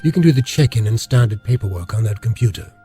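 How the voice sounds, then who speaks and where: An actor playing a brusque, evasive space station security chief in an audio drama, businesslike and clipped. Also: male voice, mid-range voice, expressive, quick pace, dark tone, faint music behind, lightly acted. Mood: dismissive, businesslike.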